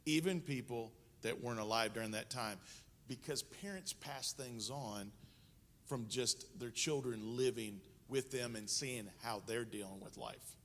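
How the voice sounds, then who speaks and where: A man's voice: mid-range voice, measured pace, fairly bright tone. A man in his fifties explaining a country's history in a conversational way during a missions talk at church. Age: 50s. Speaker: missionary speaker. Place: church sanctuary. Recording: long church hall reverb.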